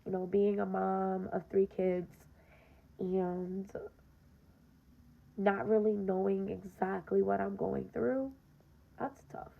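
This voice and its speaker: A young woman with a very gravelly, raspy voice talks straight to her listeners in a casual podcast monologue. Her delivery is earnest and direct, with a fairly flat pitch. The recording sounds dull and lo-fi. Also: slow, long pauses. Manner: earnest, direct, frequent "um" and "you know". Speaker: podcast host.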